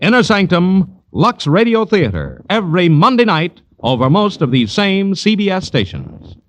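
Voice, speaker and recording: High-pitched, emphatic 1940s American radio announcer. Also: male voice, sing-song, normal speed, no echo. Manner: polished, emphatic. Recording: old 1940s radio broadcast, music behind.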